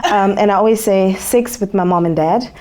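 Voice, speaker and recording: A woman from Zambia talking reflectively as an interview guest, expressive, with frequent fillers and ready laughter. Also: medium pitch, normal speed, low-quality recording.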